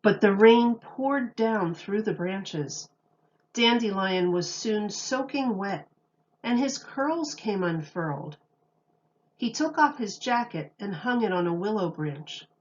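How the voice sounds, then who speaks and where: Woman, children's story reading, slow deliberate phrasing, expressive, emphatic, slightly lo-fi sound. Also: mid-range voice. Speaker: teacher reading aloud.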